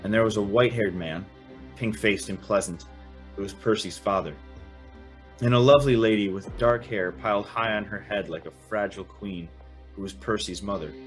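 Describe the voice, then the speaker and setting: Guy acting a sincere young man in an old time radio story, lowish voice, kinda starry-eyed. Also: moderate pace, faint music behind, lightly acted, poor audio. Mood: wondering narration. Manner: hesitant, stammering in dialogue. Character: earnest, awestruck, smitten young man, the story's narrator.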